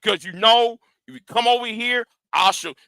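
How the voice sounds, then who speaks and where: High, forceful man ranting at his critics. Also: moderate pace, creaky, clean tone, dry recording, clean studio sound. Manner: confrontational and profane, repeats and restarts words; challenging his audience directly. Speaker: YouTube commentator. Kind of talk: ranting commentary vlog.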